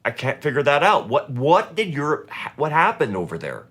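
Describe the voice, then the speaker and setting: A man's voice: a little high, huge pitch swings, normal speed, a bit bright, slightly rough. A middle-aged man hosting an interview, asking long friendly questions with frequent restarts. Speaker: host.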